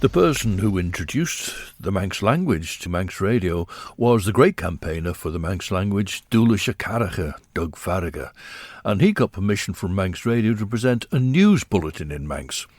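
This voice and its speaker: An older man tells his story in a steady, reflective way. His voice sits low and deep. The sound is dry and close, with no room around it. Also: moderate pace, no room sound. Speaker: narrator. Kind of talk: reminiscing documentary narration.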